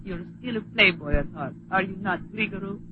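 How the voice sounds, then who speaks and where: A woman's voice: mid-range voice, a little fast, natural voice. An actress as a young Balkan woman with an Eastern European accent, stern and imperious. She talks in clipped, formal orders. The sound is a dull, slightly noisy old radio drama. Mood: cool, insistent. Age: young adult. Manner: curt, commanding, formal phrasing.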